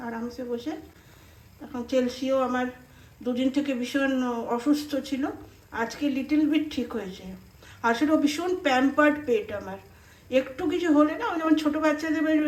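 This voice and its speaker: High-pitched Indian Bengali woman chatting at home. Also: normal speed. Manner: informal and homely, mixing in English and Hindi words. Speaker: home cooking vlogger. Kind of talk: casual chatty cooking vlog. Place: indoors at home.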